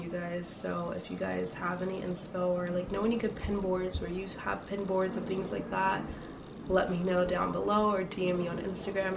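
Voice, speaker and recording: A woman's voice: mid-range voice, gravelly. A young woman with a congested, sick voice, chatting casually to her viewers as a fashion vlogger, rambling with lots of fillers. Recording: slightly distant mic with faint noise.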